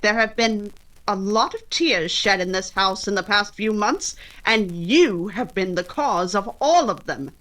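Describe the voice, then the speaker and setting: An actress playing a spirited, outspoken young Regency gentlewoman in old-time radio romance style, crisp and articulate. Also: medium pitch, unhurried, close to an everyday voice, low-quality recording. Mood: cold anger.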